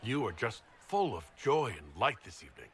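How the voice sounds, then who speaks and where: An actor playing a confident, wry heroic leader in a video game, with a dry, sardonic delivery. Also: male voice, lowish voice, sing-song, normal speed, fairly bright tone, husky, full character voice. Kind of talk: video game cutscene acting.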